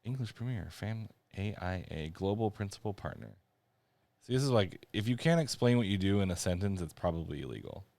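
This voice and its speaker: A man's voice: sits low, animated, a little fast, vocal fry, a little mellow, no room sound. A man co-hosting a casual sports podcast, wry and hesitant, with lots of fillers. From San Diego area. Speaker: podcast co-host.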